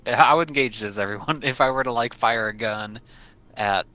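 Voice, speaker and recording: A man's voice: medium pitch, a little fast, bright, forceful tone, dry recording. A man chatting casually as a player in an online tabletop roleplaying game, over voice chat. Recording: dull lo-fi voice chat.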